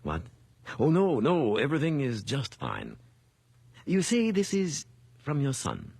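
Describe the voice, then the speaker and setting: An actor playing a courteous, shrewd and compassionate judge in a radio drama, speaking in a measured, thoughtful way. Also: male voice, mid-range voice, wildly swooping pitch, husky, dry recording, exaggerated voice. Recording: loud background ambience noise.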